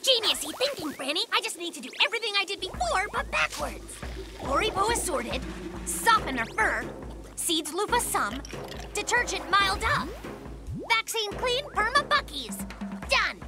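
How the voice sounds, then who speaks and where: Very high childlike voice, wildly animated, boastful young polar bear inventor, dramatic cartoon delivery. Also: normal speed, over loud music, heavily acted. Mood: thoughtful. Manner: dramatic, self-important, exclamatory.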